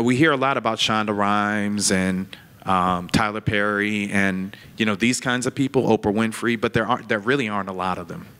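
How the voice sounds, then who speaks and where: A man's voice: on the low side, sharp tone. A man on a film panel speaking earnestly about his experience, with many "you know"s. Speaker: actor and panelist. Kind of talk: panel discussion remarks.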